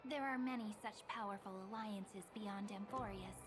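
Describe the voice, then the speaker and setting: An actress voicing a gentle, girlish guide in a video game, politely and patiently explaining distant worlds. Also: mid-range voice, normal speed, music in the background, lightly acted. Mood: explanatory. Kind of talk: scripted video game voice acting.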